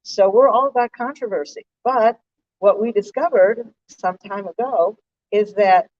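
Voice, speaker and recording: A woman's voice: mid-range voice, measured pace. An American woman co-hosting a live talk from a stage, speaking in an articulate, conversational way to an audience. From United States. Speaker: co-host, organization director. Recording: distant, dull live-event sound.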